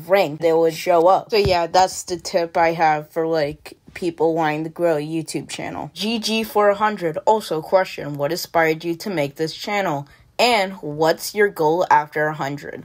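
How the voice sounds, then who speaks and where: A young woman with a naturally loud voice, a commentary YouTuber answering viewer questions in a loose, rambling way over gameplay. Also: on the low side. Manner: loose, rambling, many fillers. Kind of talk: casual Q&A over gameplay footage.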